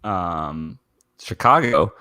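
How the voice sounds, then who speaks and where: A man with a fairly low voice chats as a podcast co-host in a tightly dry recording. He speaks casually and tentatively, often searching for the right words. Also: lively intonation, drawn-out words, pushed and bright, no echo. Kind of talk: casual fan discussion.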